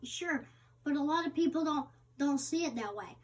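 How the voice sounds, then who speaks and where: A woman reading the interviewer's part of a magazine interview aloud, somewhat haltingly with stumbles. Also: sits high, lively intonation, darkish tone. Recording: distant microphone.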